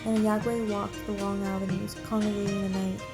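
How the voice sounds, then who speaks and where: A woman's voice: mid-range voice, flat delivery, a little fast. A woman narrator reading a scripted game story aloud. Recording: loud music behind. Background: loud music.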